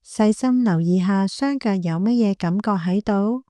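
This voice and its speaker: A woman with a Hong Kong Cantonese accent guiding a meditation in a gentle, calm, unhurried manner. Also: medium pitch, dry recording. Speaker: meditation guide, clinical psychologist. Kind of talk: guided body scan meditation.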